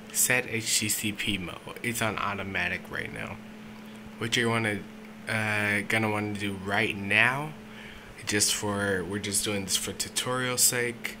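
A man giving a casual, unscripted step-by-step tech tutorial, speaking informally with hesitant pauses, recorded indoors on a handheld camera. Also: on the low side, background noise. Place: indoors, small dim room at a desk setup. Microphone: handheld camera or phone mic.

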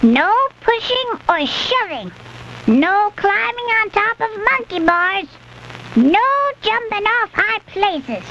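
Cheerful duck kids, very high, slow and earnest; muffled old film sound. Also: big pitch swings, pushed and bright, slight background noise, full character voice. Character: young cartoon duck boys, eager safety helpers.

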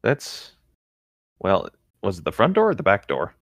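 Deep-voiced man chatting casually. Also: wildly swooping pitch, a little slow, vocal fry, no echo, studio quality. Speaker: podcast co-host. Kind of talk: casual chat.

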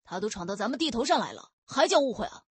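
A woman voicing a belligerent, burly young male gang leader in an audiobook, blunt and overbearing, with a husky voice. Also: on the high side, very animated, fast, dry recording, exaggerated voice. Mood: angry, accusing.

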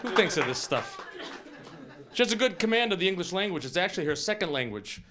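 A man in his forties doing animated, incredulous stand-up comedy, often interrupting himself. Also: high-pitched, quick pace, very noisy. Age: 40s. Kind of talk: stand-up comedy before a live audience. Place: small TV studio stage with audience. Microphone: handheld microphone, later on a stand. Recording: live studio audience laughing.

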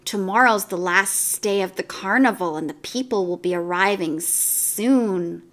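A woman's voice: mid-range voice, a little slow, a bit bright, lightly acted. A woman voicing a laid-back male toy snake who talks in a drowsy drawl.

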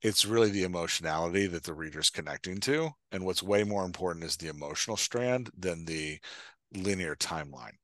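A man's voice: low-pitched, fairly quick, a bit bright, no echo, clean studio sound. A man in his forties co-hosting a casual podcast discussion in a playful, joking manner, speaking into a headset mic on a video call. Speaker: podcast host and dialogue coach. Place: indoor room at home, video call.

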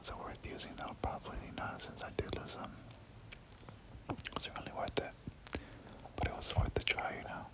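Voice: moderate pace, long pauses. A person whispering casual, slangy ASMR gameplay commentary, muttering reactions and repeating short phrases. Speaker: gaming video creator.

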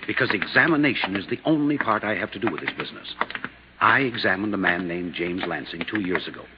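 Prickly, defensive doctor, 1950s radio style; lowish voice, dull vintage recording. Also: male voice, expressive, quick pace, background noise. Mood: indignant. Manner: indignant, curt, stubborn. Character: belligerent, defensive doctor resenting an investigation. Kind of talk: 1950s radio drama acting.

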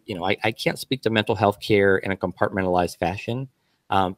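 A man speaking as a healthcare guest on a panel podcast, measured and hedging with fillers. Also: on the low side, no echo.

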